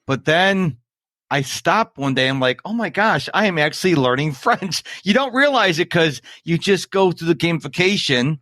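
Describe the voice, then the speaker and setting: A man's voice: a little high, expressive, moderate pace, sharp tone, dry recording, clean studio sound. A man hosting a podcast, chatting in an upbeat, folksy way. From United States. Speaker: podcast host. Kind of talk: casual interview chat.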